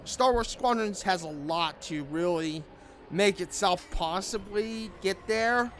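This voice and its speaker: A man with a high-pitched voice talks casually as a gaming video creator. He rambles and circles back over the same phrases. Clear background music plays under his commentary, recorded in a room at home.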